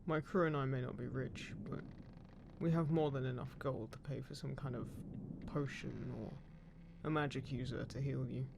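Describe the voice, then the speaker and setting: Gravelly male actor as a ghostly pirate captain, roguish, smug, unhurried menace, audio roleplay, creaking ship noise behind. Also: mid-range voice, steady tone, natural voice. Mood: wry, guarded. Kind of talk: roleplay audio drama, addressed to the listener. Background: creaking wooden ship sound effects.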